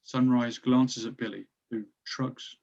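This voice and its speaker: A man's voice: medium pitch, steady tone, slow pace, creaky, darkish tone. Male screenplay narrator on slightly dull audio. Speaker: stage-direction narrator.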